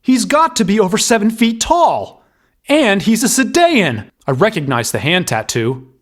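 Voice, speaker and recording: A man's voice: high voice, sing-song, unhurried, exaggerated voice. An actor playing a cocky, sarcastic young man in an audio drama. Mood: incredulous. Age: young adult.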